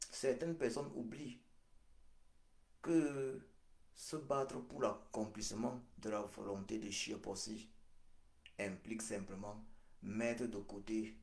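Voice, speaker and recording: Slow, emphatic man, roomy echo. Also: medium pitch. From Cameroon. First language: Ghomala' (Baham). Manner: emphatic, deliberate, repeats phrases with frequent hesitations. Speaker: activist leader. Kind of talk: address to supporters.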